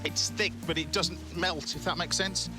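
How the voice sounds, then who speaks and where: An upbeat English man presenting a cooking show, joking as he plates food and quizzes his guest. His voice sits a little high for a man. Music plays clearly behind him. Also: steady tone, moderate pace. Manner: chatty, upbeat, jokey. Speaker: TV cookery show host.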